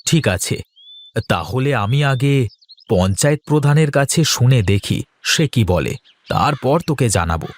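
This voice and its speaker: Man acting an animated, confident village husband. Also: medium pitch, normal speed, lightly acted. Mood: casual, assured. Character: confident village husband, protective farmer and shopkeeper, easygoing. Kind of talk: Bengali cartoon folktale dialogue.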